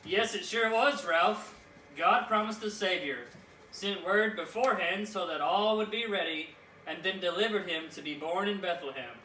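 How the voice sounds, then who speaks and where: A man's voice: high-pitched, pushed and bright. A man voicing a kindly, teacherly talking Bible puppet who explains things in a children's church show. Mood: approving. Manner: didactic, measured explaining. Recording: echoey room, distant mic, faint noise.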